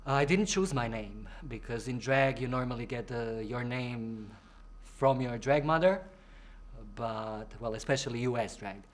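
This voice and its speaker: A young man from Croatia who performs in drag talks through interview questions in a loose, chatty way. He laughs easily and mimics other people in bright, exaggerated tones when quoting them. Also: measured pace. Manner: chatty, playful, acts out quoted people in high voices. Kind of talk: casual interview answers.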